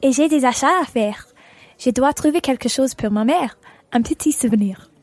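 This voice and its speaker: A polite holidaymaking woman acted by a woman in a language-course radio play. She speaks in a fairly high voice with an agreeable, pleasant manner. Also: normal speed, a little mellow, no echo.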